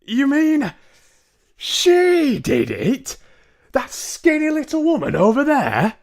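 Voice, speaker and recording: Storybook father, middle-aged: high, shouted indignation, blustering and outraged. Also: huge pitch swings, slow, gravelly, heavily acted. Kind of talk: storybook character reading.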